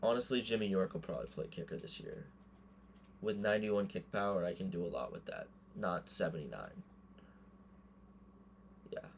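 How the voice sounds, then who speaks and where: Male gaming creator, hoarse, deeper side, loose offhand thinking-aloud commentary. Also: lively intonation, moderate pace, long pauses, slight background noise. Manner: thinks aloud, muttering verdicts on players. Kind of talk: casual gameplay commentary.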